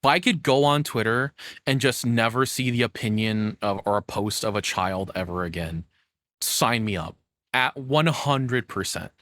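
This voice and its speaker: A man's voice: mid-range voice, normal speed, fairly bright tone, no room sound. A young adult man doing casual reaction commentary as a content creator, rambling, sarcastic and freely swearing.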